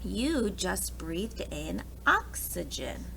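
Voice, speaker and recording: Very slow, animated woman reading to children. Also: fairly low pitch, creaky, slight background noise, low-quality recording. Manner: expressive, talks directly to young children. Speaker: preschool teacher reading aloud. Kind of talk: children's picture book read-aloud with asides.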